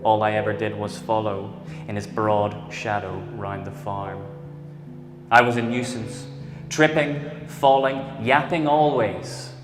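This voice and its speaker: A man reading poetry aloud as a measured, deliberate recital. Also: fairly low pitch, steady tone. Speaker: poetry reader. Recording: echoey hall, music behind voice.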